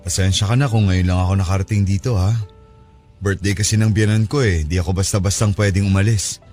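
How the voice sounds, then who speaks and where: An actor playing an evasive, weary married lover in a Tagalog audio drama, conversational and placating. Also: male voice, sits low, fairly even intonation, fairly quick, a little mellow, soft background music, lightly acted.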